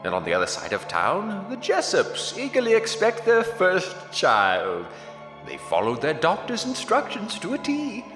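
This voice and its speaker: Slow, echoing sinister horror narrator. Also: male voice, high-pitched, huge pitch swings, heavily acted. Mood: gleefully sinister. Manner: theatrical, ominous, drawn-out. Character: sinister, gleefully macabre male horror narrator. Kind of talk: scripted horror radio drama introduction. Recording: cavernous reverb with music behind.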